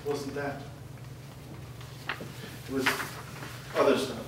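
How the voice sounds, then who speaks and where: A man's voice: mid-range voice, level tone, drawn-out words. A man playing a wary, strict, reticent father in a staged screenplay reading. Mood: uneasy, withholding. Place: live reading in a reverberant room. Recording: echoey noisy room, distant microphone.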